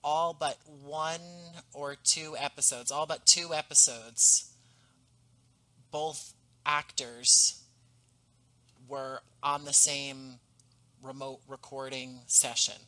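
A man in his thirties speaks over a headset on a video call from home, telling stories with eager enthusiasm. His voice is bright and forward. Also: medium pitch, slow, pauses often, poor audio. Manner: animated, enthusiastic storytelling. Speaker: podcast guest, audio drama creator. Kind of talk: casual interview. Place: home room, indoors.

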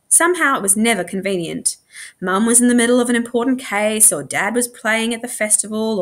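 Female story reader, middle-aged, lively intonation for children's fiction. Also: medium pitch. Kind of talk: expressive audiobook-style read-aloud.

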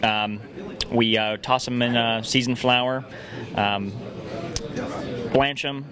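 A man's voice: mid-range voice, fairly even intonation, takes it slowly. A man in his thirties from a restaurant answering interview questions in a pub, friendly and hesitant with many fillers. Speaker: restaurant staff. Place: pub dining room, indoors. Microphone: host's handheld mic. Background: crowd chatter.

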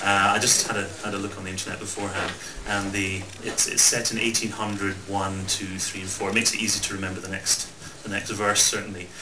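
A Scottish man with an Edinburgh accent makes hesitant, rambling stage banter, his voice sitting a little low. He stands at a stand mic in a small folk club, picked up from far off. The live recording is roomy and carries steady noise. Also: brisk, hardly any pauses. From Scotland. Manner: hesitant, rambling, self-deprecating. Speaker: folk singer introducing songs. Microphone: stand microphone, recorded by a distant camera.

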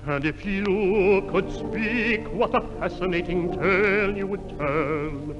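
A man's voice: sits high, slow, over music. A sixteen-year-old male singer performing a ballad in a 1950s radio show. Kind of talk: singing a ballad with organ. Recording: dull 1950s radio recording.

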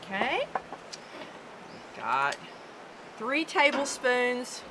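A woman's voice: fairly high pitch, big pitch swings, drawn-out words. A woman in her fifties from the American South, giving an upbeat, instructive cooking demonstration on an outdoor deck. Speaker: guest cook. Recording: outdoor background noise.

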